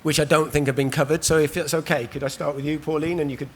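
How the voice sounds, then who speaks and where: A man, hoarse from losing his voice, hosts a live conference panel in a loose, chatty way with many ums and restarts. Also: on the high side, fairly even intonation, fast talker. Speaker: panel host. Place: conference stage at a trade show.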